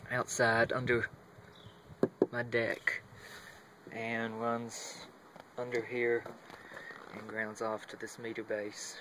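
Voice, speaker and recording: A man giving a casual, unscripted hobbyist walkthrough on a phone, halting as he thinks aloud. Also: medium pitch, background noise. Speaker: hobbyist demonstrating a home radio antenna setup. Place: at home, moving between indoors and outside. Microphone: handheld phone while filming.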